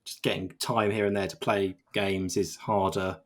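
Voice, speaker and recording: A man chatting on a video call, halting and restarting his sentences. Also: low-pitched, little pitch movement. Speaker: games journalist, panelist. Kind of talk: casual video call chat.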